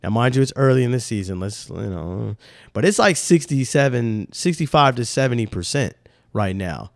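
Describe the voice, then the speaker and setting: A man's voice: mid-range voice, normal speed, vocal fry, no room sound, clean studio sound. A young man with a slightly nasal voice, hosting a sports podcast in loose, rambling casual talk. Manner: loose, animated, rambling with slang.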